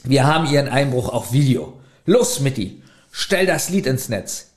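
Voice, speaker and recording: A man's voice: mid-range voice, very animated, moderate pace. A man co-hosting a podcast in casual chat, keeps asking his partner questions and reads plot summaries aloud. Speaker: podcast co-host.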